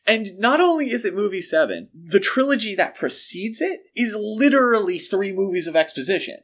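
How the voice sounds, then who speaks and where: A man's voice: high voice, sing-song, normal speed, fairly bright tone. A man hosting a podcast, talking through films in a casual, analytical and emphatic way. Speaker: podcast host. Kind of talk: casual analytical film discussion.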